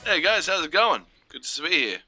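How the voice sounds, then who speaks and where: A man's voice: a little high, sing-song, normal speed, pushed and bright, faint music behind. A man from Australia, a voice actor, answering questions casually in an interview.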